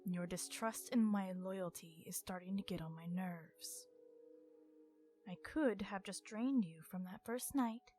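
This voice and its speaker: A woman voicing a centuries-old vampire in an ASMR roleplay, stern, irritated and possessive, with a measured, formal and often hushed delivery. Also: mid-range voice, soft background music, close to an everyday voice. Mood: irritated, menacing.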